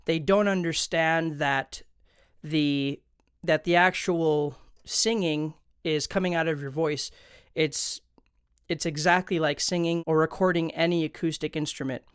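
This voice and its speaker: Clear-voiced man giving a casual tutorial. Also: fairly high pitch, fairly even intonation, measured pace, a bit bright, no echo, studio quality. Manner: informal, conversational. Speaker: tutorial host. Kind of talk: casual instructional explainer. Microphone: close studio condenser microphone.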